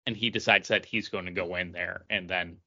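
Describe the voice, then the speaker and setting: A man co-hosting a podcast in casual conversation, talking haltingly and repeating himself as he makes his point. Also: sits low, fairly even intonation, pushed and bright, dull audio. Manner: halting, repeating and restarting phrases. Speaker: podcast co-host reviewing comic books. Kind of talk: casual chat.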